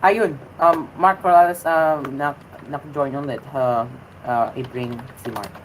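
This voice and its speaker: A woman's voice: low voice, unhurried, slight background noise, low-quality recording. A woman hosting a casual livestream, speaking hesitantly with many fillers and restarts and switching between Tagalog and English, which is her second language after English. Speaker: host of a livestreamed show.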